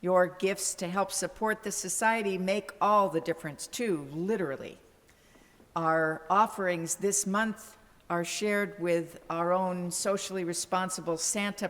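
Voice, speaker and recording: A woman giving scripted closing remarks to a congregation as a church staff member. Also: on the low side. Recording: long hall reverb.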